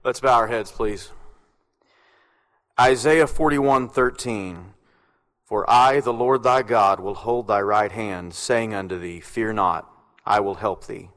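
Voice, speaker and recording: A man leading a public opening prayer as a senator, solemn and measured in short phrases. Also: on the low side, fairly bright tone. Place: large legislative chamber. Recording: echoey hall with long reverb.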